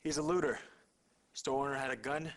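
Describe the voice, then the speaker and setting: A man's voice: mid-range voice, steady tone, a little fast, natural voice. An actor playing a terse, matter-of-fact male rescue worker reporting on the wounded in a video game. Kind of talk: full-motion-video game acting.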